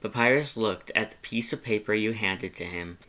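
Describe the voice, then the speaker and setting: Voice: mid-range voice, normal speed, a bit bright. A narrator reading second-person fan fiction as a scripted story.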